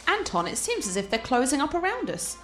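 A woman's voice: sits high, sing-song, a little fast, faint music behind. A woman playing a cultured, confident art scholar and teasing fiancée in a radio play, articulate and playful. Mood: enthusiastic.

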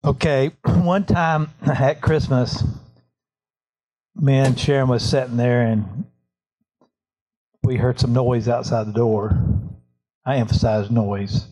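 A man of middle pitch tells corny holiday jokes in an unhurried, deadpan way. He takes his time with each punchline and keeps a straight face, with a light lilt. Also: muffled recording. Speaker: staff member.

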